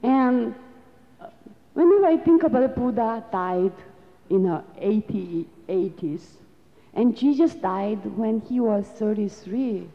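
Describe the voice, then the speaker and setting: Slow, earnest Korean-accented woman lecturing. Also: mid-range voice, expressive. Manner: earnest, reflective, with non-native phrasing. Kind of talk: lecture to an audience. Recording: dull recording with long hall reverb.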